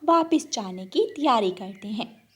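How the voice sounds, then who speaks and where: Woman narrating a kids story, slow, slightly high voice, a bit husky and lively. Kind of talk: scripted children's story narration.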